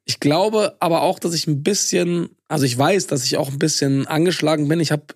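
A hoarse man co-hosting a casual podcast, chatty and joking as he tells a story. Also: fairly high pitch, a little fast, dry recording, clean studio sound. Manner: chatty, jokey, animated storytelling. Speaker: podcast co-host.

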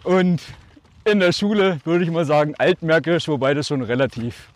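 A man's voice: a little high, moderate pace. A man in his forties from Germany with a northern German accent with a slight Swabian touch, talking as a podcast guest in a reflective, storytelling way. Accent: Altmark, northern Germany, slightly Swabian. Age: 40s. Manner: reflective, speaks in short phrases, often draws words out. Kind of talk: casual storytelling.

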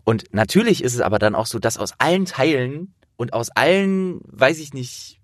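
A man's voice: medium pitch, animated, moderate pace, no echo, clean studio sound. A man hosting a casual interview, talking in a rambling, storytelling way.

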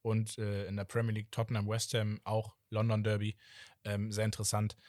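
A man's voice: lowish voice, monotone, a little fast, a little mellow, no echo, clean studio sound. Hesitant young man plugging his podcast. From Portuguese background. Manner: hesitant, many fillers, plugs the show. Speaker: podcast co-host. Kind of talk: casual football podcast chat.

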